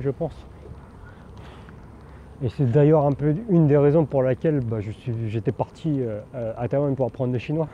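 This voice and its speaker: A man born in France, talking candidly while riding a bike outdoors, rambling with many fillers and restarts. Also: mid-range voice. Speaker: vlogger. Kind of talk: casual spoken monologue. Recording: dull outdoor recording with background noise.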